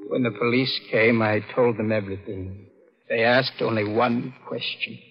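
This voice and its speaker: An actor playing a tormented murderer in a melodramatic, intense 1940s radio style. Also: male voice, medium pitch, fairly even intonation, normal speed, slightly rough. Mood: dazed, insistent. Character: tormented, confessing murderer, lover turned killer. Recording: dull old radio recording, music behind.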